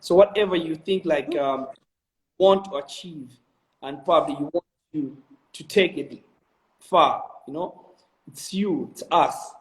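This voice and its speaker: A man's voice: on the high side, unhurried. Earnest young Malawian man, echoey room. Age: 20s. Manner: earnest, motivational, many "um"s and restarts. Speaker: interview guest, video director. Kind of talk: casual interview answers.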